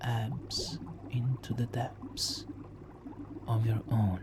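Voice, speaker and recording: A man playing a smooth-talking supervillain nemesis in an ASMR roleplay, hushed, soothing and coaxing as he hypnotically seduces a captive hero. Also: mid-range voice, level tone, very noisy. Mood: hypnotic, coaxing. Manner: slow, hushed, soothing, coaxing with soft chuckles. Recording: water trickling behind the voice.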